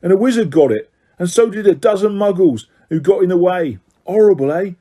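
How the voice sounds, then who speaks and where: Man doing a high, gossipy bus conductor for an audiobook; excitable chatter. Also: a little fast. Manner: gossipy, breathless, dramatic.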